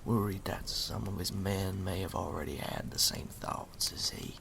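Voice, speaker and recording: A man playing a wry animal storyteller in a campfire ASMR roleplay, speaking slowly and ominously in a gravelly half-whisper. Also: low-pitched, fairly even intonation, background noise. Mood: ominous storytelling. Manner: slow, deliberate, folksy, ominous.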